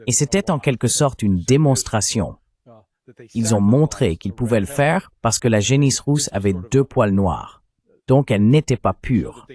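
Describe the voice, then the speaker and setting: A man's voice: mid-range voice, expressive, a little slow, darkish tone. A man's synthetic dubbing voice reading translated political commentary as a discussion panelist.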